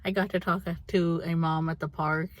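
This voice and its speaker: A young woman chatting casually and candidly to her vlog audience in a rambling, animated way. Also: fairly low pitch, normal speed, dry recording. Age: young adult. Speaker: vlogger.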